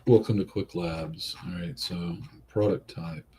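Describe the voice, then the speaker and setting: A man's voice: sits low, unhurried, mellow, slight background noise. A man with a Southern US accent hosting a study group, walking through a coding lab while thinking aloud. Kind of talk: live coding walkthrough.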